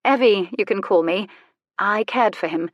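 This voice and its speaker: A woman's voice: medium pitch, moderate pace, no room sound, dull audio, close to an everyday voice. A woman acts out a worldly young widow and courtesan from a romance storybook. She is cool, bold and unflappable, with playful wit. The recording is close and dry. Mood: wry.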